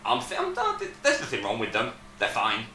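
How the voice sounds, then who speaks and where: A man's voice: fairly low pitch, huge pitch swings, a little fast, pushed and bright. A young man in casual conversation with friends, giving his opinions as a guest. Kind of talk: casual chat among friends. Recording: echoey room with faint noise.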